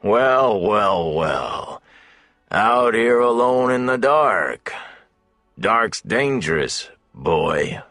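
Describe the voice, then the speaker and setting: A male narrator plays a big, mean tavern heavy from a fantasy audiobook. He taunts in a slangy, menacing drawl that swings above a deep natural register, recorded close and dry. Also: sing-song, extremely slow, fairly bright tone, dry recording, soft background music, heavily acted.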